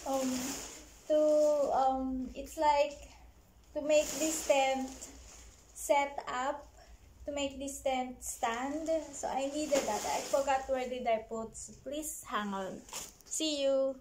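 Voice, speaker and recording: High giggly Filipino woman in echoey room. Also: steady tone, darkish tone. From Philippines. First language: Tagalog. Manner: giggly, searching for words, halting English. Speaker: vlogger. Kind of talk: casual home vlog. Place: living room at home, indoors. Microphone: camera across the room. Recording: echoey room with faint noise.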